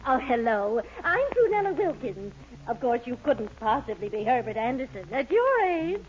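Prim fussy aunt, animated high voice, easily outraged, 1940s radio comedy, muffled old recording. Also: female voice, moderate pace, a little hiss or hum. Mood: polite, chatty. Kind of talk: 1940s radio sitcom acting.

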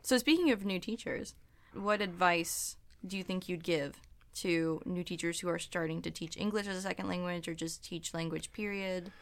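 Young American woman interviewer, slightly low voice. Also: moderate pace. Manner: friendly, chatty, uses "like" often. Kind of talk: casual interview.

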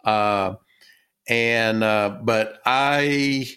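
A middle-aged man from Texas with a Texan accent, a guest telling his story in a halting way with many fillers and restarts. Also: lowish voice, pushed and bright, clean tone. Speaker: guest, retired business owner and author. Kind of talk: casual interview talk.

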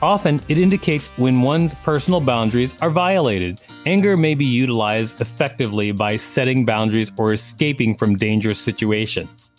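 Mid-pitched man reading over soft guitar music. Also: normal speed, few pauses. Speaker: podcast co-host reading an encyclopedia article. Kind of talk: scripted narration read aloud, with brief casual commentary.

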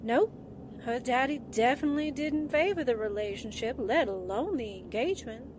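A woman's voice: on the high side, lively intonation, moderate pace, noisy background, lightly acted. A woman playing a breezy, slangy, wistful young newspaper sidekick in a 1940s-style radio drama. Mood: dreamy, breezy.

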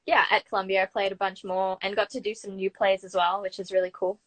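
A young Australian woman speaks as a guest in a relaxed interview format, at a medium pitch. Her way of talking is informal and conversational, built around personal anecdotes. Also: fast. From Brisbane, Australia. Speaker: guest, actor and acting student. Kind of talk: casual interview chat.

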